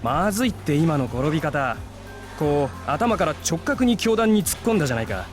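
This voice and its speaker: Fast-talking blunt high school boy. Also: very animated. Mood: worried, bantering. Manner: rough, offhand schoolboy talk. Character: blunt, casual male high school classmate. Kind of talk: Japanese anime visual novel acting. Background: game music.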